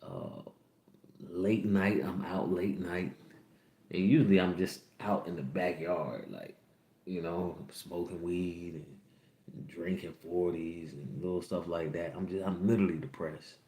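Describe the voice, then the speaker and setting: A man's voice: deep voice, measured pace, dark tone, reverberant. A young man in his twenties hosting a podcast, telling a candid personal story to his viewers, rambling and repeating lines. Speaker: podcast host. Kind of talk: personal storytelling to a livestream audience.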